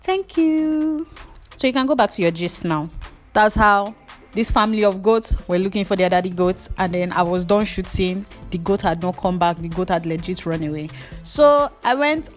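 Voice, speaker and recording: A young Nigerian woman with a Nigerian accent, a hair tutorial vlogger, mixes slow step-by-step instructions with playful, gossipy storytelling. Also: medium pitch. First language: Yoruba. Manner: animated, playful, jumps between slow instructions and gossipy asides.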